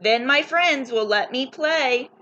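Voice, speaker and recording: A woman voicing a loud, excitable young boy shark for a children's storybook. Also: high-pitched, takes it slowly, dull audio, a little hiss or hum, exaggerated voice. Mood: eager, determined. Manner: shouting, excitable, chants rhymes.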